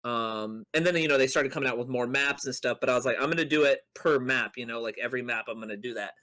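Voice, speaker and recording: A man's voice: mid-range voice, fast talker, bright, forceful tone. A man chatting casually as a podcast co-host, telling stories in a rambling way with playful mock boasting. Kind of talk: casual chat on a gaming podcast.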